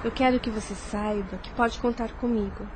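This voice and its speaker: A woman's voice: a little high, fairly even intonation, normal speed, lightly acted. A woman playing a devoted, anxious woman in love, tender and melodramatic, in a radio soap opera. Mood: soothing. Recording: noisy audio with ambient sound.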